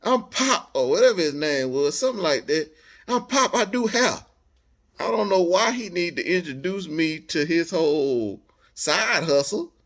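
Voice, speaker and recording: An American man telling a personal story casually, in animated, slangy speech, acting out bits of dialogue as he goes. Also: a little high, unhurried.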